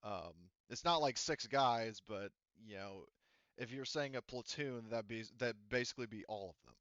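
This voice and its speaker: A man's voice: mid-range voice, normal speed, fairly bright tone, clear, resonant tone, no room sound, dull audio. A man running a tabletop roleplaying game, rambling along with lots of fillers and restarts. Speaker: game master.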